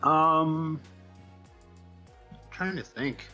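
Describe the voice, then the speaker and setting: A man speaking as a guest writer in a casual interview, answering in a hesitant, halting way with trailing asides. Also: on the high side, pushed and bright, muffled recording, faint music behind. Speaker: comic book writer, guest.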